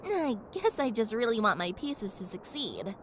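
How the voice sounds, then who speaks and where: A woman's voice: fairly high pitch, very animated, normal speed, noisy background. A woman playing a young pony princess in an audio drama, polite, playful and earnest, with a friendly, slightly grand manner. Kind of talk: audio drama character acting. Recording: faint background ambience.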